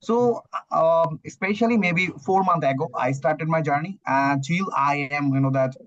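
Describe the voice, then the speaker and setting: A young Bangladeshi man with a fairly high voice speaks hesitantly, filling his pauses with "you know that" and "uh". The recording sounds dull and lo-fi. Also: fairly bright tone, no room sound. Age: young adult. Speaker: guest, student. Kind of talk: casual English practice chat.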